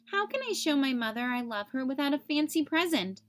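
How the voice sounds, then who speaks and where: A woman's voice: high voice, big pitch swings, a little fast, fairly bright tone. A woman voicing an earnest, questioning little boy turtle from a children's picture book. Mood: earnest, puzzled.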